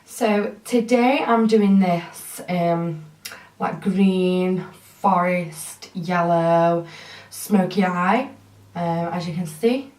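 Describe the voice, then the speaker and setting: A woman's voice: fairly low pitch, very slow, a little mellow, distant mic. A woman casually introducing her video with hesitant fillers. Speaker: beauty vlogger. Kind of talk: channel intro. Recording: roomy, away from the mic.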